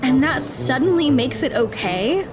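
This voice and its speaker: A woman plays an earnest teenage girl in an audio drama. Her voice sits on the high side, and she takes things literally and explains them plainly. Music runs underneath. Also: animated, unhurried. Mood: annoyed.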